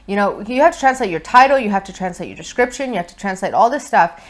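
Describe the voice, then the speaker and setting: A woman's voice: mid-range voice, expressive, fast. A woman in her thirties chatting casually on a couch, giving seller advice in an explanatory way, recorded with a lapel mic. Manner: chatty and explanatory, lots of fillers. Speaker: co-host, online seller. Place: indoor living room on a couch.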